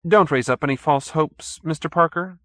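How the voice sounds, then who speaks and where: A man's voice: fairly high pitch, moderate pace, bright, forceful tone, dry recording, studio quality, pitched above the performer's own voice. A man voicing a haughty, urbane cult leader in an audiobook, pompous and sternly courteous. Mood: smooth menace. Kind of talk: audiobook character acting.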